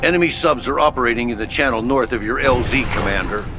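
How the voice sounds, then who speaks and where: An actor playing a gruff, brisk headquarters officer giving curt, commanding orders in a video game. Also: male voice, medium pitch, close to an everyday voice. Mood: curt, calm. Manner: curt, commanding, matter-of-fact. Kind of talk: video game mission control acting. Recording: loud music and engine noise behind.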